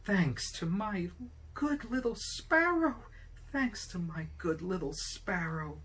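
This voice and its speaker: A man plays a kindly, patient old man in a storybook tale. He speaks eagerly in a raised pitch, stretching his words out with simple gladness. The delivery swoops up and down. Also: animated, slow, fairly bright tone, husky, heavily acted. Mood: overjoyed, grateful.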